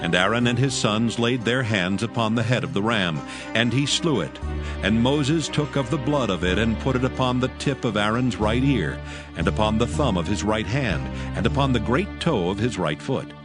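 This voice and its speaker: A man narrating scripture from a script in a solemn manner, with measured phrasing. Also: fairly low pitch, animated. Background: music.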